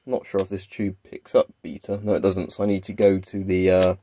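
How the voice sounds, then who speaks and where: Low-voiced man, casual demo, noisy recording. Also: monotone, brisk, mellow. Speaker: video creator demonstrating gadgets. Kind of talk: casual off-the-cuff hands-on demonstration. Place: small carpeted room at home, indoors. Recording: clear background noise, clicking device. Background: Geiger counter clicks and crackle.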